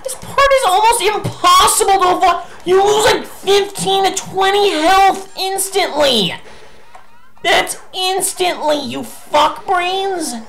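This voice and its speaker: A young man giving angry, swearing commentary while playing a video game, his voice going hoarse. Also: extremely high pitch, slow, pushed and bright. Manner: ranting, swearing, frustrated outbursts. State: losing his voice. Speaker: gameplay streamer.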